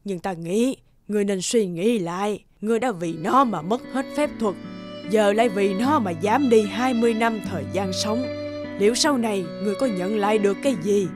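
A woman's voice: medium pitch, moderate pace, music in the background, close to an everyday voice. A woman playing a stern old witch leader in a cartoon, cold and commanding, lecturing imperiously. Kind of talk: cartoon dubbing.

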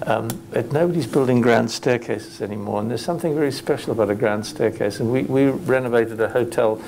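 A man's voice: medium pitch, a little fast, darkish tone, slight background noise. A man speaking as a panelist at a hotel industry conference, thoughtful and hesitant with many restarts.

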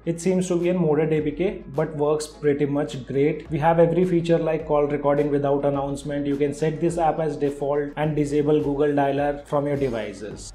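A man's voice: fairly high pitch, warm tone, roomy sound. A man hosting a tech channel, talking through a phone app demonstration in a tutorial voice-over. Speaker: tech video host. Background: background music.

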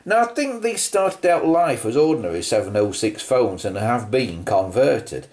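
A man's voice: medium pitch, big pitch swings, normal speed, a little mellow. A British man giving an unscripted hands-on show-and-tell, musing as he goes and posing rhetorical questions. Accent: British English. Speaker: presenter of a vintage telephone video.

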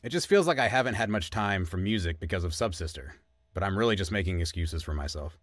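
Audio drama: male actor as struggling musician, thirtyish, hesitant, wistful, lower register, very dry recording. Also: expressive, fast, no room sound. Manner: hesitant, reflective.

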